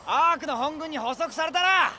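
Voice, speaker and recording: An actor playing a loud, rowdy gang fighter who barks rough orders. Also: male voice, very high-pitched, normal speed, noisy background. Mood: shouting. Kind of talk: anime voice drama acting.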